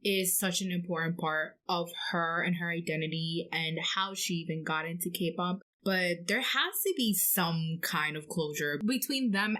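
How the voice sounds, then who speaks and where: Woman on a kpop podcast, slightly low voice, explaining stuff and giving her take. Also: unhurried, hardly any pauses, pushed and bright. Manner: explanatory, opinionated. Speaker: podcast co-host. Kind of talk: casual K-pop podcast chat.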